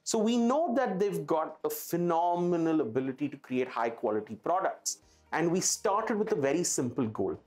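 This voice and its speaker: A man's voice: fairly high pitch, big pitch swings, normal speed, a little mellow. A man with an Indian accent presents a product to camera in an enthusiastic, emphatic way. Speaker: presenter for an audio retailer. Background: background music.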